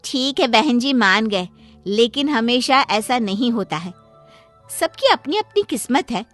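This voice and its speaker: A woman's voice: fairly high pitch, sing-song, measured pace, a bit bright, faint music behind. A woman voicing a nosy, frank middle-aged neighbour who gives emphatic, preachy advice in a Hindi cartoon. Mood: advising. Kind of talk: Hindi cartoon moral story acting.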